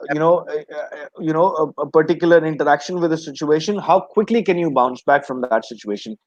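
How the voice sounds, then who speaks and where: A man on a video call explains an idea in a hesitant way, stopping to restart and find the right words. His voice is fairly high for a man and sounds dry and a bit muffled. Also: dry recording. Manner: hesitant, many fillers and restarts. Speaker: guest speaker. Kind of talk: explanatory panel talk.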